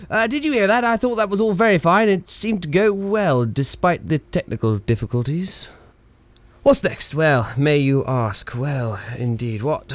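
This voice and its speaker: A whimsical 1920s radio announcer, acted by a man, with a fairly high voice in a close, dry sound. He rambles theatrically through comic radio-play patter, hesitating and slipping cheeky asides to his listeners. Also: no room sound, close to an everyday voice. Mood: cheeky, rambling.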